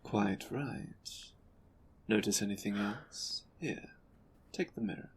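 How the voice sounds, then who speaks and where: A man playing an imperious, menacing board leader in an audio drama, speaking in a condescending, deliberate, sardonic way. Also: fairly low pitch, husky. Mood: scornful, sardonic. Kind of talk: audio drama roleplay acting.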